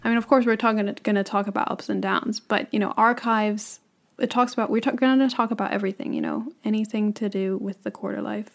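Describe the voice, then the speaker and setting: A woman's voice: medium pitch, fairly even intonation, fast, gravelly. A woman in her twenties from Hong Kong, co-hosting a podcast in an earnest, casual chat, peppered with "you know" and easy laughs. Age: 20s. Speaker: podcast co-host.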